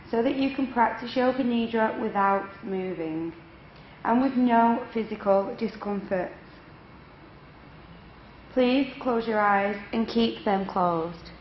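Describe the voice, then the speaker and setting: A woman yoga instructor leading a guided relaxation meditation in measured, instructional phrases with unhurried pauses. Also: a little high. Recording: echoey room, dull lo-fi, faint noise.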